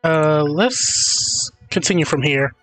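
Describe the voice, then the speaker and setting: A male game streamer with a somewhat high voice. He comments casually, in a wry and grumbling way. Game music plays softly behind him. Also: slow pace, no echo, low-quality recording.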